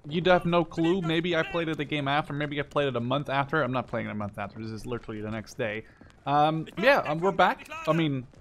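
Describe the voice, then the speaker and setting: A man's voice: on the high side, fairly bright tone, a little hiss or hum. A man in his twenties giving casual, rambling live gameplay commentary, often correcting himself and swearing offhandedly. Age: 20s. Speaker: gameplay video host. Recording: game gunfire and clicking behind voice. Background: video game gunshots, machine guns, clicks.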